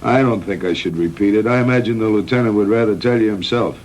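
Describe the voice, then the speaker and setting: A man playing a calm, frank middle-aged hospital doctor in a measured, matter-of-fact 1960s B-movie style. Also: lowish voice, close to an everyday voice. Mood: grave, recounting. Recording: noisy old 1960s film soundtrack.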